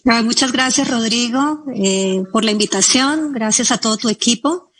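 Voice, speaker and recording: A woman's voice: on the high side, normal speed, fairly bright tone, a little hiss or hum. Articulate Ecuadorian woman explaining figures. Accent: Ecuadorian Spanish. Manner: fluent, explanatory, cites figures. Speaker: guest. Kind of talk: interview answer.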